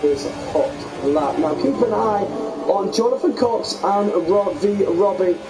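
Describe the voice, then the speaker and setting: A man losing his voice, calling a sim race as lead commentator in a lively, chatty play-by-play. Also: high voice, a little slow, music in the background, low-quality recording.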